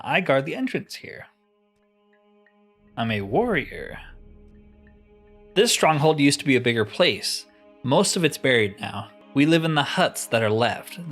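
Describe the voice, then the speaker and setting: A man's voice: medium pitch, fairly bright tone, pitched above the performer's own voice. Man voicing a stern stronghold warrior guard.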